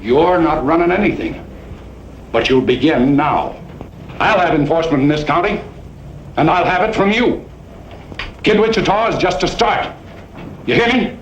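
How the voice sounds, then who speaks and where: A man's voice: fairly high pitch, animated, normal speed, pauses often, echoey room, lo-fi sound, slight background noise, close to an everyday voice. An actor playing a domineering father in a drama, scolding and commanding. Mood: angry, commanding. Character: domineering, demanding father berating his lawman son. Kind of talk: Western drama acting.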